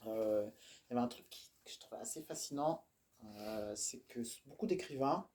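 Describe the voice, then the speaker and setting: A man with a mid-range voice, talking in a relaxed, unhurried way. He thinks aloud with fillers, and a faint background noise is heard. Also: mid-range voice. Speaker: poet. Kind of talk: casual discussion.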